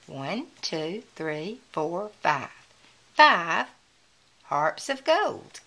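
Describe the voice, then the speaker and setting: A woman reading a children's picture book aloud in a deliberate manner, as if counting along with young listeners. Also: lowish voice, big pitch swings, a bit bright.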